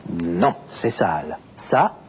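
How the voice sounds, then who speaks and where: A man narrates a language lesson in a deliberate, explanatory way and enunciates every word clearly. He speaks very slowly, and his pitch moves widely up and down. Faint background noise sits under his voice. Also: animated. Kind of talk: scripted teaching narration.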